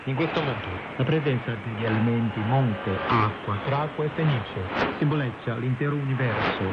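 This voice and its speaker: A man reading scripted explanatory narration on shortwave radio. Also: mid-range voice. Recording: muffled shortwave radio, loud static.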